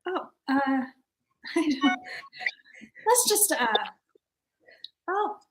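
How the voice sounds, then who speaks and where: A woman's voice: sits high, expressive, drawn-out words, slight background noise, heavily acted, low-quality recording. A woman acting a prim, officious committee chairwoman with a formal, fussy manner, in an amateur play reading. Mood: flustered, exasperated.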